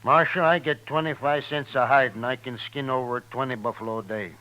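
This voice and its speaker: A man's voice: medium pitch, fairly even intonation, a little fast, bright, forceful tone, lo-fi sound, slight background noise, natural voice. Man acting a resentful, rustic buffalo skinner. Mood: bitter, complaining. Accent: American. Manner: rustic, plain-spoken, grudging. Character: plain-spoken, resentful buffalo skinner, adult male. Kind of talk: 1950s radio drama acting.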